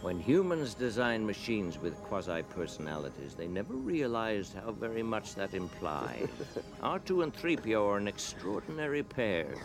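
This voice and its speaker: An old sage in a radio drama, with music clearly behind him. His voice is fairly low and moves expressively, yet stays measured, formal and watchful. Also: big pitch swings. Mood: musing. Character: wise, calm, watchful old male mentor.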